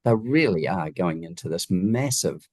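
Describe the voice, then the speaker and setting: A man with a low-pitched voice and a New Zealand accent speaks as an interview guest, recorded dry and close. He explains things conversationally and leans on key points with emphasis and rhetorical questions. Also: lively intonation, a little slow, darkish tone, no room sound. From Wellington region, New Zealand. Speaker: guest, home designer.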